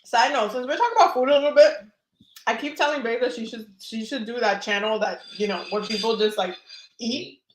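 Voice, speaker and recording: A woman's voice: a little high, fairly quick, echoey room, a little hiss or hum, low-quality recording. A woman hosting a casual tarot live stream who chats and laughs while she eats. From Dominican. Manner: chatty and laughing, talks while eating.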